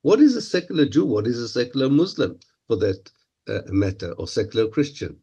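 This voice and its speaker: A man with an Israeli accent, a native Hebrew speaker, answering interview questions in English in a halting, analytical way. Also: medium pitch, big pitch swings, dark tone, slightly rough, muffled recording. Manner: halting, searching for words, analytical. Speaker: guest commentator.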